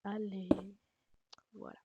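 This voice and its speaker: A woman's voice: medium pitch, little pitch movement, takes it slowly, phone-quality sound. A woman with a light Alsatian accent giving a rambling, casual game tutorial, swearing and making self-mocking jokes. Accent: Alsatian French. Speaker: gaming channel host. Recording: loud background noise.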